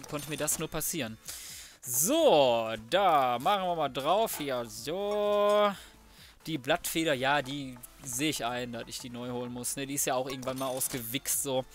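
A man giving casual, slangy gameplay commentary, chatting through each step as he goes. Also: mid-range voice. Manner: chatty, self-narrating, slangy. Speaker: let's player commentating.

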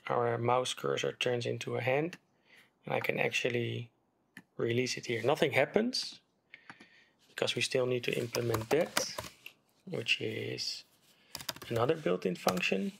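A man narrates a coding tutorial at his desk in an easygoing, step-by-step way. His mid-pitched voice has a gravelly edge. Faint background hiss sits under it, with keyboard typing and mouse clicks. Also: a little slow, pauses often. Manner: relaxed, step-by-step explaining while typing. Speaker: game development tutor. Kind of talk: screen-recorded coding tutorial.

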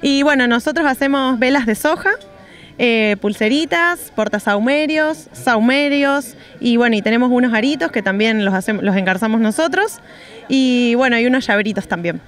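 A cheerful young Argentine woman talks about her travels on a street by parked vans. Her voice sits a touch high and she leans on "y bueno" between thoughts. She speaks into a handheld reporter mic outdoors. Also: moderate pace. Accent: Argentine Spanish. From Rosario, Argentina. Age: young adult. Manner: cheerful, chatty, many fillers like "y bueno". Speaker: interviewee, traveling artisan. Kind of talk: street interview.

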